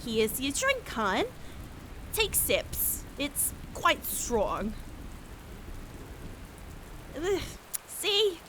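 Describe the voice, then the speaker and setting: A woman's voice: sits high, very animated, very slow, pauses often, a bit bright, slight background noise, exaggerated voice. A woman voicing a doting, teasing big-sister wine goddess in a fantasy audio drama, with a sing-song, coddling manner. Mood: doting, cheerful.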